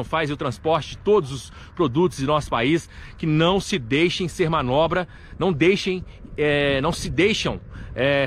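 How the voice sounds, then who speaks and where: A man from gives a live local news update beside a highway at night, talking earnestly into a phone camera held at arm's length and leaning on tag words like "né" and "tá". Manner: earnest, repetitive, tag questions "né" and "tá". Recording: faint traffic noise outdoors.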